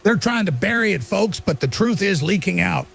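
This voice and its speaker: A man playing an alarmist conspiracy radio host, delivering an urgent, insistent monologue in a gravelly voice. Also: sits high, lively intonation, normal speed, fairly bright tone, a little hiss or hum, exaggerated voice. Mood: urgent, accusing. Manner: urgent, insistent, addresses listeners as folks.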